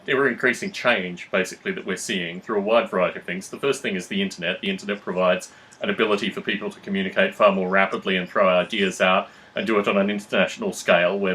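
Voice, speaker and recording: Low-voiced, analytical male podcast host. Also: a bit bright, poor audio. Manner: measured, analytical, wordy phrasing. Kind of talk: conversational discussion, framing questions.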